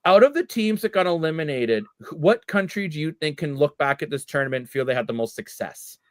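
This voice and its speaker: A man hosting a casual hockey podcast, talking with energy and getting loud when worked up. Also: on the high side, a little fast, dry recording, clean studio sound. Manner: animated, loud when riled up. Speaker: podcast host. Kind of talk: casual sports chat.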